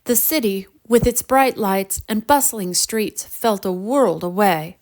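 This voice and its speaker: A woman narrating a children's bedtime story in an expressive, deliberate storybook manner. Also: medium pitch.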